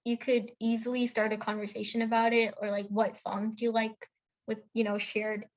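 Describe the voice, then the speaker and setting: Young woman co-host from India, bright higher voice, rambling casual storyteller, lo-fi recording. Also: flat delivery, moderate pace. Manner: chatty storytelling with many fillers and self-corrections. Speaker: podcast co-host. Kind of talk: casual chat.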